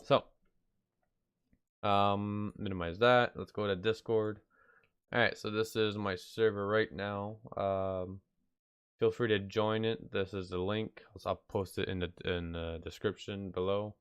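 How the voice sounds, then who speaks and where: A man with a slightly nasal, congested voice from being sick, rambling offhandedly through an unscripted software tutorial. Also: on the low side, level tone, measured pace, clear, resonant tone, dry recording, highs cut off. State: sick with stuffy nose. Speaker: video tutorial host. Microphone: close mic, screen-recording voice-over.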